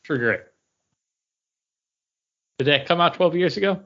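A man's voice: a little high, expressive, measured pace, fairly bright tone, muffled recording. A man co-hosting a podcast, chatting casually and informally. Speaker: podcast co-host. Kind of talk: casual chat.

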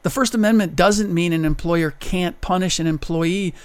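A man delivering an opinion monologue, deliberate and emphatic, pressing hard on his key points. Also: sits high, smooth, dry recording. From United States. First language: German. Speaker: commentator.

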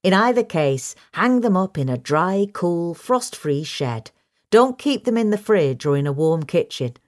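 A woman reading a scripted question-and-answer guide in a measured, instructional manner. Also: lowish voice, sing-song. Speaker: reader of a magazine article.

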